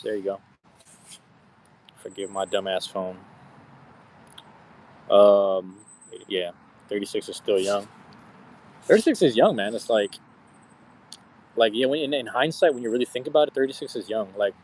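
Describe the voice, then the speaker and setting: Slangy, low-voiced man in his thirties. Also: low-quality recording. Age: 30s. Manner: slangy, profane, rambling. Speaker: streamer. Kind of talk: casual live-stream chat with viewers.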